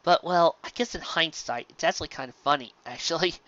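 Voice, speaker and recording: A man hosting a fan fiction series, narrating and commenting casually in an enthusiastic, halting, stumbling way. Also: a little high, fairly bright tone, dry recording, dull audio.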